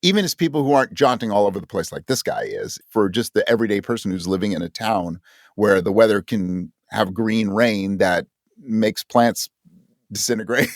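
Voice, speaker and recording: A man's voice: lowish voice, moderate pace, no room sound, clean studio sound. A man speaking as an interview guest, conversational and thoughtful, often searching for words and correcting himself. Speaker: interview guest, audio drama writer.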